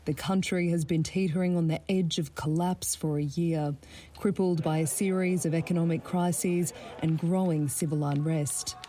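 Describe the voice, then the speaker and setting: A woman's voice: sits low, fairly even intonation, moderate pace, mellow, soft background music. A woman with an Australian accent reading a scripted news report.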